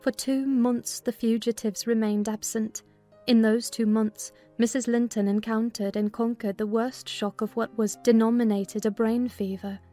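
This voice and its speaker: A woman's voice: on the high side, steady tone, moderate pace, dark tone, faint music behind. A woman reading scripted audiobook narration of a classic novel.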